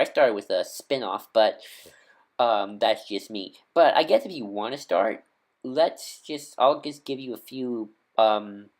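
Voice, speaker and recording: A man talking casually as a video host, an enthusiastic fan who rambles with lots of ums and corrects himself mid-sentence. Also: mid-range voice.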